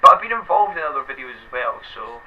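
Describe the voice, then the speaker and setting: A man's voice: medium pitch, very animated, fast. Rambling young Scottish man, slightly dull line. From Scotland. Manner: rambling, lots of fillers. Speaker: guest. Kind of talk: casual chat. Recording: slightly dull, faint noise.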